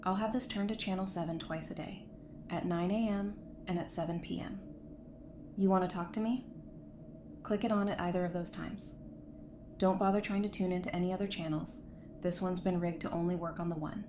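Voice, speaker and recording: A woman's voice: lowish voice, steady tone, a little fast, lightly acted. An actress playing a guarded, no-nonsense woman doctor in a post-apocalyptic audio drama, speaking in a firm, dry, matter-of-fact way. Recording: faint background noise.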